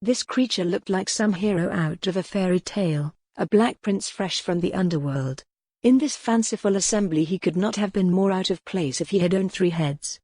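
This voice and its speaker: Female audiobook narrator for historical romance, lower-pitched voice, dry close studio sound. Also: moderate pace, dark tone, husky, no echo.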